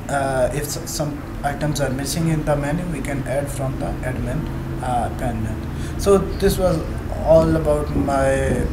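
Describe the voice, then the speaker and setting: A young man demoing his programming project on screen, talking in a slow, halting way full of fillers. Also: mid-range voice. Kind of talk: screen-recorded code walkthrough. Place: indoor room at a desk. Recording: loud background noise, traffic.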